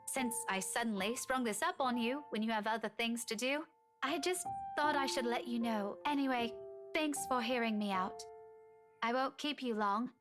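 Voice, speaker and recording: A woman's voice: fairly high pitch, normal speed, lightly acted. A woman voice-acting an earnest, guarded young woman in love in a visual novel. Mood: apologetic, tender. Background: background music.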